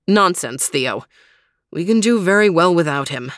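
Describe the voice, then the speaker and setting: A woman plays a sensible storybook dog detective, second in command of his pack. He talks briskly and scolds with impatience. The sound is dry. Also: fairly low pitch, lively intonation, fairly bright tone, no echo. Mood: confident, then resigned. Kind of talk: storybook character reading.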